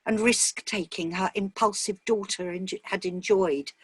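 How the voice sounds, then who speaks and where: A woman's voice: medium pitch, fairly even intonation, measured pace, breathy edge, dry recording. A woman reading a dramatic short story as scripted narration.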